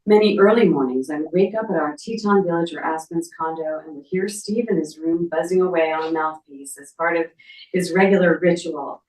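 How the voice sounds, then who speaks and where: Low-voiced woman speaking in an echoey room. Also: brisk, a little mellow. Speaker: memorial speaker, relative of the family. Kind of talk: reading a written tribute aloud. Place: echoey indoor memorial gathering. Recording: echoey room, distant microphone.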